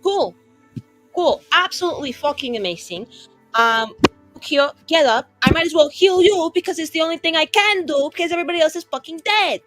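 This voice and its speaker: A woman's voice: sits high, lively intonation, a little fast, fairly bright tone, faint music behind, rough recording. A woman playing a tabletop game, chatting casually in a blunt, sardonic, sweary way.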